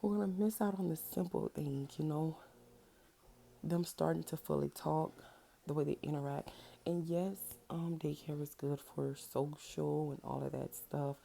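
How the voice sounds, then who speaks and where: A woman in her thirties with a naturally deep voice, a little under the weather, giving a loose, rambling message at home, full of ums and asking "right?". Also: steady tone, a little slow, gravelly, dark tone. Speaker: spiritual vlogger. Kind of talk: casual direct-to-camera message. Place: home with a washing machine running. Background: washer running, children fussing at times.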